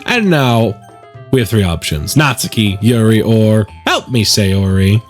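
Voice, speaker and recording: Animated male let's play host. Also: on the low side, measured pace, faint music behind. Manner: conversational, opinionated. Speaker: gaming video host and musician. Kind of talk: let's play commentary and narration.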